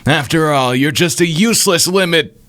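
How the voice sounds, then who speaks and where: A man's voice: fairly high pitch, moderate pace, a bit bright, voice pushed up, natural voice. A man voicing a cocky, mocking villain from a comic with theatrical, taunting delivery. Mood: boastful. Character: cocky, mocking villain feared as a merciless angel.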